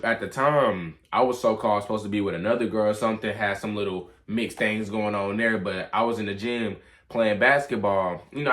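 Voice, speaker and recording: A man's voice: lowish voice, pushed and bright. A young adult man telling a personal story in a casual, rambling, slangy way. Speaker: vlog storyteller.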